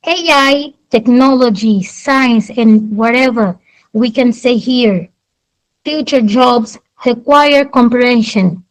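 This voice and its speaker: A woman's voice: on the high side, takes it slowly, low-quality recording. A young woman from Angola with an Angolan accent, Portuguese her first language, giving an earnest debate speech and reading out statistics point by point. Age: young adult.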